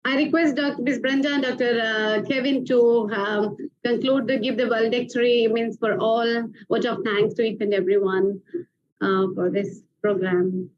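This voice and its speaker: A high-voiced woman hosts an event. She speaks informally and haltingly, often repeating herself, and the sound is dull and lo-fi. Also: fairly even intonation. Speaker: host of an online ceremony.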